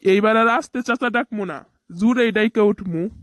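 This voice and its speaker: Man acting as a cartoon boy, very high voice, bossy, teasing, colloquial, close dry sound. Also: moderate pace, a bit bright, no room sound. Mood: argumentative. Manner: colloquial, taunting, commanding. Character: bossy, teasing young village boy who wins a bet.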